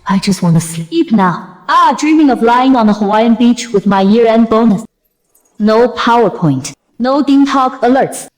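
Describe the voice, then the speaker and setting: A young female general in an anime motion comic, acted by a woman, with a highish voice. She is bossy and sardonic, a weary office worker at heart who complains bluntly while giving orders. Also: lively intonation, measured pace, low-quality recording. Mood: dry, grumbling. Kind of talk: dubbed motion-comic anime narration and dialogue.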